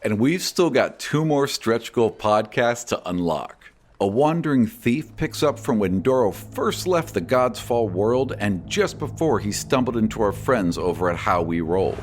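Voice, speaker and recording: A man's voice: on the low side, lively intonation, unhurried, hardly any pauses, soft background music. A man speaking as a podcast host and game master, delivering a scripted announcement.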